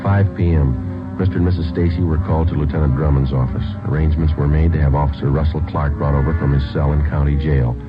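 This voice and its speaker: Deadpan low-voiced police detective, old radio. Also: male voice, quick pace. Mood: flat narrating. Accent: American. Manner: clipped, deadpan, matter-of-fact. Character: terse, businesslike male police sergeant detective. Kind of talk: 1950s radio crime drama acting and narration. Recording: muffled old radio recording with music.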